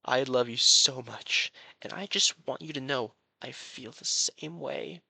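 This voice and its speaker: An actor playing a possessive, haughty prince in an audio roleplay, coaxing and pompous, speaking straight to the listener with sweet menace. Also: male voice, medium pitch, unhurried, vocal fry, pushed and bright, slightly rough, dry recording, full character voice. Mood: tender, obsessive. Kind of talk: dramatic roleplay addressed to the listener.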